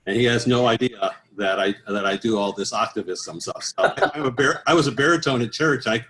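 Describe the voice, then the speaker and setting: A man's voice: medium pitch, fairly quick, a bit bright, poor audio. A middle-aged man talking casually as an interview guest, in a rambling, anecdotal way. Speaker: interview guest, professional choral bass singer.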